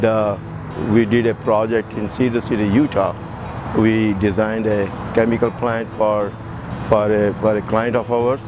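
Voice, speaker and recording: Older man, measured. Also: lowish voice. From India. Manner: measured, hesitant with fillers. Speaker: chemical engineer. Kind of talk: casual interview answer. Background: background music.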